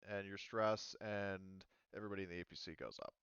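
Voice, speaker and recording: A man's voice: low voice, steady tone, fairly quick, a bit bright, clean tone, dry recording, highs cut off. A man running a tabletop game as game master, talking casually and thinking aloud as he checks the rules.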